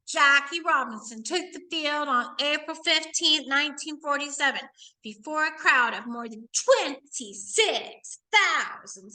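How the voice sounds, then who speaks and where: Bright, high-voiced woman reading aloud. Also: low-quality recording. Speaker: educator reading a children's book aloud. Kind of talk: expressive read-aloud with casual asides.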